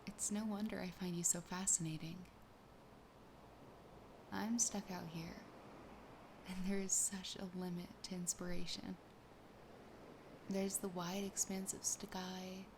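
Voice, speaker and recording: A woman voicing a wistful, sassy siren in an ASMR roleplay, softly and breathily, often half-whispering at a slow, intimate pace. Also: on the low side, level tone, background noise. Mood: fascinated, wistful. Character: sassy, possessive, wistful young female siren.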